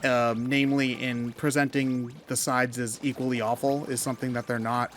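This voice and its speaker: A man chats as co-host of a gaming commentary. His delivery is casual and opinionated, building into animated rants with loose, casual swearing. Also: mid-range voice, moderate pace, a little hiss or hum. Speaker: co-host of a video game commentary show.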